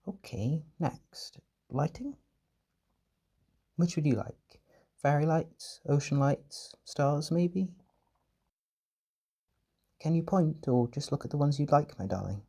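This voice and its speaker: Soft, husky man, soothing and tender. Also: fairly high pitch. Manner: tender, soothing, reassuring, pet names. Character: gentle, doting male caretaker soothing an overwhelmed partner. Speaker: audio roleplay performer. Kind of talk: comfort audio roleplay, soft and intimate.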